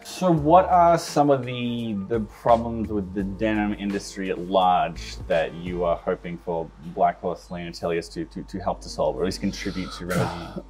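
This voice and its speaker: A man's voice: sits low, normal speed, darkish tone, faint music behind. A man interviewing someone conversationally, asking long, articulate questions in carefully built phrases.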